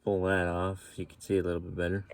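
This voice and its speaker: A man giving a relaxed, folksy how-to walkthrough from inside a truck. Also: sits low, fast, gravelly, a little hiss or hum. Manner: relaxed, folksy explaining with casual slang. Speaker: mechanic demonstrating CB radio setup. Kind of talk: casual how-to narration.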